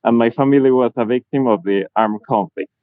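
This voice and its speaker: A man of twenty from Colombia, a political science student speaking earnestly and a little haltingly on a video call. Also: medium pitch, dry recording. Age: 20s. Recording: tinny phone-like video call audio.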